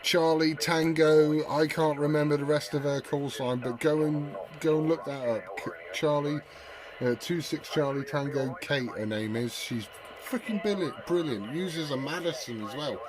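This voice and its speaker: A man's voice: on the high side, normal speed, a crowd in the background. A British man chatting casually and informally to viewers as the host of a radio hobby video, rambling in a matey way.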